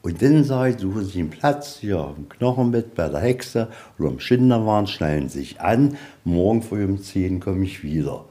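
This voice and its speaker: A man's voice: low-pitched, animated, normal speed, hardly any pauses, mellow. A man guiding visitors through a museum in a folksy, rambling way, telling anecdotes informally. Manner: folksy, rambling storyteller, tags sentences with "ja". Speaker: museum guide. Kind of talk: informal guided tour with anecdotes. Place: inside a museum, on location.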